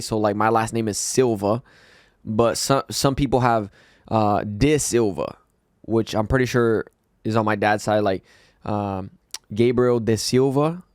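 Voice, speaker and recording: A man hosting a reaction video, talking to viewers in an excitable, slangy, casual way. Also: fairly low pitch, no room sound, studio quality. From Brazil.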